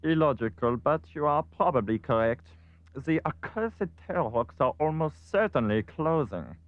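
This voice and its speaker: An actor playing a pompous, scheming cartoon villain with a precise, haughty manner. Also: male voice, a little high, lively intonation, moderate pace, dry recording, phone-quality sound, close to an everyday voice. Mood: smug, scheming. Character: pompous scheming villain with a cold, logical mind.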